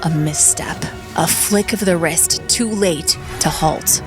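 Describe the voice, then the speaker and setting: A woman narrating a dramatic audio story in a solemn, measured way with weighty pauses. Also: on the low side. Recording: narration under loud dramatic music. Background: loud music.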